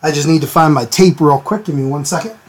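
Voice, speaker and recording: A man hosting a live drawing stream, a little hoarse from a cold, chatting casually and greeting viewers by name. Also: fairly high pitch, fast talker. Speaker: art stream host. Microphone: desk mic, sometimes turned away from it.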